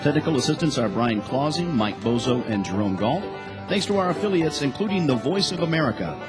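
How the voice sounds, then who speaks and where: A man's voice: mid-range voice, big pitch swings, a little fast. Male radio announcer over guitar music. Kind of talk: scripted broadcast credits read. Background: acoustic guitar music.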